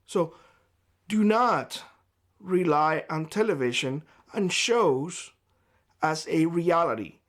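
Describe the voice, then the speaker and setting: Hoarse man giving blunt advice. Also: a little high, animated, slow pace, long pauses, a bit bright. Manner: blunt and lecturing. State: hoarse, losing his voice. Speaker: prepper YouTuber. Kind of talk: direct-to-camera vlog monologue. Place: homestead.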